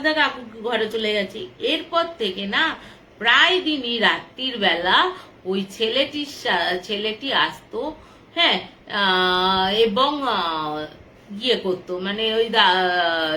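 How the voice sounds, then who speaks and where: A woman telling a story informally, in a halting, conversational way with repetitions. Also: medium pitch, animated, sharp tone. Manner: halting, conversational, repeating herself. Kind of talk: informal spoken storytelling, acting out dialogue. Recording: clear background noise.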